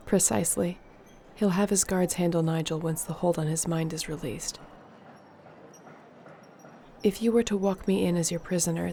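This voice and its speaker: A woman playing an earnest, determined fantasy sorceress in an intimate audio roleplay, speaking in a measured, softly formal way. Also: lowish voice, creaky, slight background noise. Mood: intent, planning.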